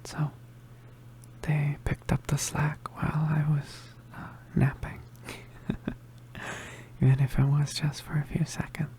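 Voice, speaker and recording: A woman playing a gentle, protective young male witch who is hurt and vulnerable, speaking in halting, breathy, whispered ASMR roleplay. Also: low voice, flat delivery. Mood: weak, grateful. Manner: halting, stammering, hesitant. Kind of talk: ASMR roleplay, soft intimate acting.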